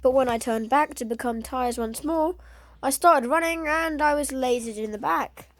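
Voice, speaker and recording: A woman's voice: high-pitched, normal speed, no room sound. Congested woman, dry commentary. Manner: dry, self-deprecating, matter-of-fact. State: sore throat and congested. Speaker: gaming video creator. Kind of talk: voice-over commentary on gameplay clips.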